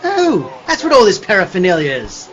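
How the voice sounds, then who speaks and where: A man playing a fussy, excitable theatrical old gentleman in a comic radio play, pompous and full of old-fashioned exclamations. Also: high-pitched, moderate pace, lo-fi sound, faint music behind, exaggerated voice. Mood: puzzled, genial.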